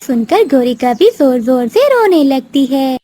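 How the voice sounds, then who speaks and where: A woman narrator with a very high voice reads a children's story. Her pitch moves in a lively, animated way through the scripted telling. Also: unhurried.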